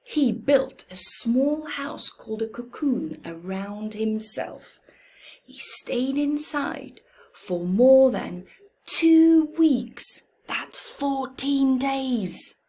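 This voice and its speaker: Very slow, high-voiced woman storyteller. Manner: expressive, deliberate, counting aloud with dramatic pauses and hushed emphasis. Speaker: storyteller reading to young children. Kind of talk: slow children's picture-book read-aloud. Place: indoors in a room at home.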